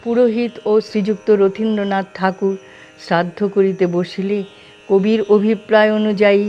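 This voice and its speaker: A woman reading formal, measured scripted narration for a documentary. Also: medium pitch, steady tone, dark tone. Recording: dull, lo-fi, music behind.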